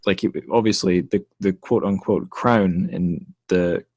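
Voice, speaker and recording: A man from the United Kingdom hosting a podcast interview in a casual way, pausing often with fillers and restarts. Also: sits low, fairly even intonation, vocal fry, darkish tone, husky, no room sound. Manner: hesitant, many fillers and restarts. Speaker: podcast host.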